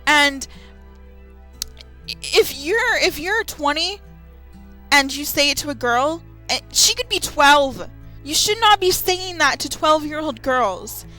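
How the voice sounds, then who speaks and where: A woman in her twenties speaking casually and unscripted to viewers, in an earnest, emphatic, halting way. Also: high voice, pushed and bright. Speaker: gamer, video maker. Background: background music.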